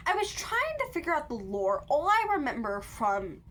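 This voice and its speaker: A young woman of nineteen talks through a casual book review vlog, her voice sitting a little high. She rambles, circles back to correct herself, and fills the gaps with "like" as the rant builds. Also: huge pitch swings, moderate pace, fairly bright tone, slight background noise. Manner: rambling, lots of "like" fillers, self-correcting asides. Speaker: book vlogger.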